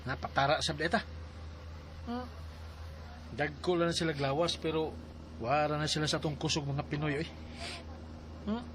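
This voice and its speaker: A man's voice: a little high, normal speed, long pauses, a bit bright, slight background noise. Teasing shipmate, man. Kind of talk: radio drama dialogue.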